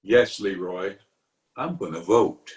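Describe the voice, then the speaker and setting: A man playing a blustery, genial middle-aged small-town uncle in a pompous, theatrical 1940s radio comedy style. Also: low-pitched. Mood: genial, flustered. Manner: pompous, theatrical, with drawn-out hesitations and chuckles. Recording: music behind the voice, remote home recording.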